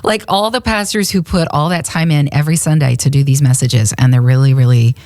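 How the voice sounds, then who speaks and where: Low-voiced, laughing woman radio host. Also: a little fast. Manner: lively, chatty storytelling with frequent laughter. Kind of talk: casual Bible discussion. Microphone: studio microphone.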